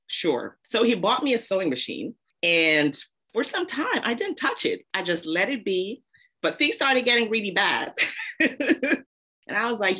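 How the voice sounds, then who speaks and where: A woman's voice: lowish voice, bright, forceful tone, husky. A woman from Zambia, a podcast guest, telling her story casually and laughing often.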